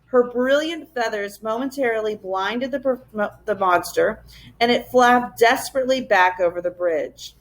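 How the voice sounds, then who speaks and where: A woman reading a children's adventure story aloud as a teacher would, in expressive narration. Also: on the high side, moderate pace.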